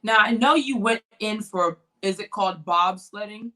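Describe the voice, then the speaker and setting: Brief: young woman interviewer, bright forward voice, eager and friendly. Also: mid-range voice, moderate pace, rough recording. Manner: eager, friendly questions with restarts. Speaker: host. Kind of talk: interview hosting.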